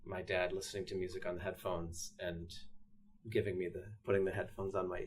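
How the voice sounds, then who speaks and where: An American man in his late thirties talking reflectively in a documentary interview, halting and repeating himself as he thinks. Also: low-pitched, little pitch movement. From upstate New York, USA. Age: late 30s. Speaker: interviewee, pianist. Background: piano music.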